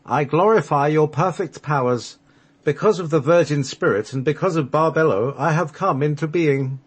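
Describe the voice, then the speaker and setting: A man's voice: moderate pace, slightly rough. A man voicing a reverent, newly created divine spirit, declaiming solemnly in an amateur radio play reading. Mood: exalted. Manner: solemn, high-pitched declaiming.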